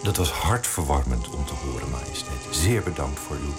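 A deep-voiced deferential pastor. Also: male voice, animated, normal speed, vocal fry, over loud music, full character voice. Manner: polite and deferential. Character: deferential clergyman addressing the queen.

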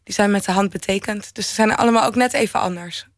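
A young woman answering interview questions off the cuff, haltingly, thinking aloud as she goes. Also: medium pitch, no room sound, studio quality. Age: young adult. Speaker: interview guest, fashion designer.

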